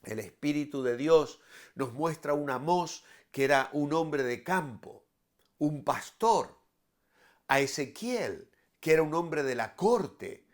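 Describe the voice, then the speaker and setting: A man, a pastor, giving devotional Bible teaching and scripture readings in a measured, didactic way with emphatic phrasing. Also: fairly high pitch, expressive.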